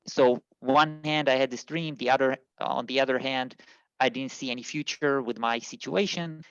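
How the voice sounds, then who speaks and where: A man from Israel, an immigration consultant, answering interview questions in a halting way with many fillers and restarts. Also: medium pitch, dry recording, highs cut off.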